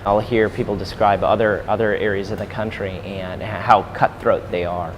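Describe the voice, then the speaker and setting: A man's voice: lowish voice, little pitch movement, moderate pace. A man in his thirties from St. Louis, a startup incubator co-founder who answers interview questions hesitantly, with many fillers. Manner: hesitant, full of fillers and restarts. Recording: faint background noise.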